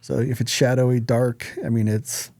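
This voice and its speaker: A man with a mid-pitched, mellow voice chats casually as a podcast co-host. His delivery is informal and rambling, recorded close and dry with no room sound. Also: little pitch movement, normal speed, vocal fry, no room sound.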